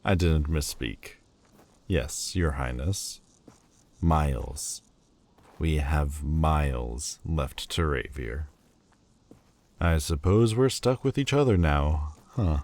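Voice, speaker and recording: A man's voice: rumbling low, slow pace, pauses often, darkish tone, heavily acted. A man voicing a proud, prickly male Lord of Demons in a fantasy audio roleplay, haughty and sardonic with a grudging tenderness. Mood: teasing. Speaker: voice actor.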